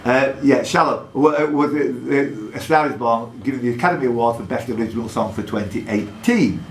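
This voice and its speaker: A male quizmaster speaks in an echoey pub room with some background noise. He has a mid-pitched voice and reads questions out deliberately, dropping in jokey asides as he runs the quiz. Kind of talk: live pub quiz hosting, reading questions aloud. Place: indoor pub.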